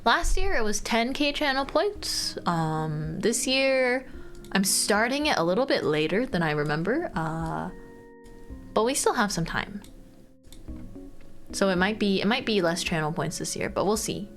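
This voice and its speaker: A woman's voice: medium pitch, sing-song, a little slow, a bit bright. A woman VTuber streamer chatting casually and easygoingly with her viewers while she draws. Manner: chatty, easygoing, talks to chat. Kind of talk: casual livestream chat while drawing. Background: faint background music.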